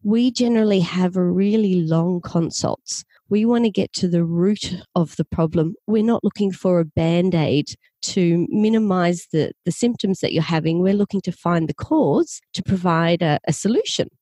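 An Australian woman speaking as a naturopath guest, her voice mellow and relaxed. She explains steadily and patiently, in a dry, close recording. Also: on the low side, moderate pace, few pauses, dry recording. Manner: clear, patient explaining with occasional restarts. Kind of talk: explanatory interview talk.

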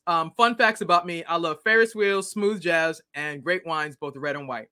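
A woman's voice: on the low side, normal speed, sharp tone. A woman from Boston, a guest in an interview, telling her story in a playful, chatty way. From Boston, USA. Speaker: guest expert.

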